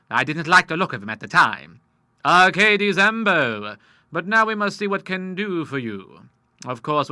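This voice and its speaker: A man's voice: medium pitch, bright, forceful tone, no room sound, studio quality. A man voicing an amiable, polished old lawyer who sprinkles his talk with Latin. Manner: genteel, drops Latin phrases. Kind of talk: audiobook character voice.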